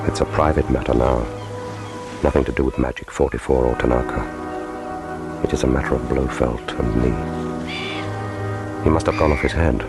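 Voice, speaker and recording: An actor playing a cool, wry, brooding secret agent with a dry, understated manner in a radio drama. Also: male voice, very deep voice. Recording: loud orchestral film score behind voice.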